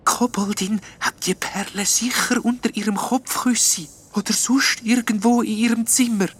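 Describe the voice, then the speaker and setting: A storyteller voicing a small, timid, friendly ghost in a breathy half-whisper. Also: mid-range voice, lively intonation, slow pace, pitched above the performer's own voice, exaggerated voice. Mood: whispery worried.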